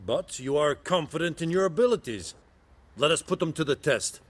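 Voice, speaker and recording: A condescending, fairly high-voiced commander. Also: male voice, lively intonation. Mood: condescending, challenging. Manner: formal, measured, condescending. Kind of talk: fantasy video game acting.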